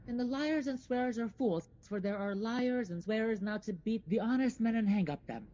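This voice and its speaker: A woman's voice: fairly high pitch, moderate pace, warm tone, highs cut off, natural voice. A woman playing a brave, cheeky little boy with an innocent manner in Shakespearean radio drama.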